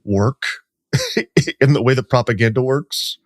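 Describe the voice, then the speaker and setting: A man's voice: medium pitch, unhurried, no room sound, clean studio sound. A man talking as a reporter guest on a podcast, in a loose, chatty way full of "like" and "um.". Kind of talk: casual podcast chat.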